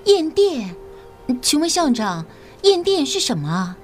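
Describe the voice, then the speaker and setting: High-voiced, earnest young woman teacher. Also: sing-song, slow, faint music behind, exaggerated voice. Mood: earnest questioning. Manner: modest, respectful. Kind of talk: audio drama acting.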